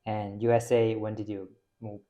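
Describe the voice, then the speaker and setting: A man's voice: fairly low pitch, little pitch movement, moderate pace, warm tone. A man interviewing a guest, who asks short questions and gives brief, encouraging replies. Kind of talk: casual interview conversation.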